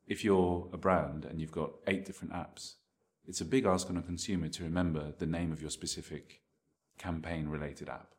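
Really deep male voice, chatting through his take in an interview, measured. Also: fairly even intonation, a little mellow. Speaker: interviewed marketing technology expert. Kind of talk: conversational interview answers.

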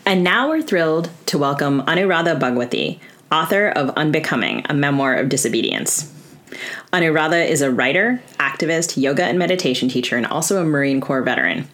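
A woman's voice: low voice, lively intonation, brisk, fairly bright tone. A woman co-hosting a podcast, reading a polished, scripted introduction of a guest. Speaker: podcast co-host.

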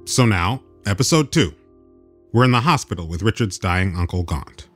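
A man's voice: sits low, expressive, normal speed, fairly bright tone, faint music behind. A man hosting a radio program, narrating in a conversational way. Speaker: radio host.

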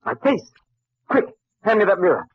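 A man's voice: high voice, very animated, moderate pace, husky, no room sound, full character voice. An actor playing a driven young male scientist in an old radio drama, intense and melodramatic. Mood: alarmed. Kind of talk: 1940s radio acting. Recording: muffled, dry old radio recording.

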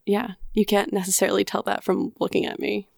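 A woman's voice: mid-range voice, fairly quick, creaky, no room sound, clean studio sound. A woman chatting casually in a group, hesitant and full of "like" fillers. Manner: relaxed, hesitant, many "like" fillers.

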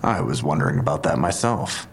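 Male detective, very low voice, deliberate and analytical, audio drama, faint rumble behind. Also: lively intonation. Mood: measured, speculative.

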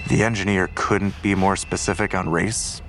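An actor playing a calm, skeptical male investigator in an audio drama. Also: deep voice, moderate pace, noisy background, natural voice.

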